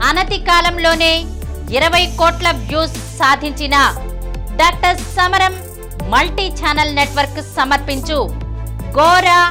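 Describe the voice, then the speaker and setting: A woman's voice: very high voice, bright, forceful tone. A woman reading a scripted channel promo that asks viewers to subscribe. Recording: music behind the voice. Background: clear background music.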